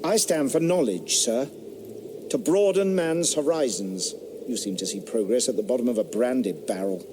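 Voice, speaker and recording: An actor playing an earnest, defensive gentleman scientist and country squire in a period radio drama. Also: medium pitch, moderate pace, background noise, natural voice. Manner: stiff, defensive, hushed asides.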